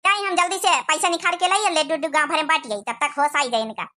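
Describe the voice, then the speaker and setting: A woman's voice: high voice, fast talker, bright, forceful tone. A woman voicing a fussy, indulgent old village mother-in-law in an Awadhi cartoon comedy, with a rustic accent and exclamatory way of talking. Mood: delighted.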